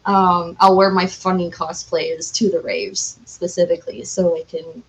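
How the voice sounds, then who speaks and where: A woman chatting casually as an interview guest, talking in an animated, chatty way with "like" sprinkled through. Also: fairly low pitch, measured pace, poor audio. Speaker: podcast guest, cosplayer.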